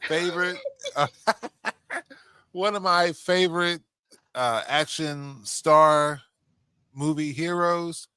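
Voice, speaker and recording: A man's voice: a little high, slow, pauses often, pushed and bright, clean tone, no room sound. A man co-hosting a casual podcast, swearing easily and repeating words as he reacts and gives opinions. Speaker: podcast co-host. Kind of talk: casual chat about video game movies.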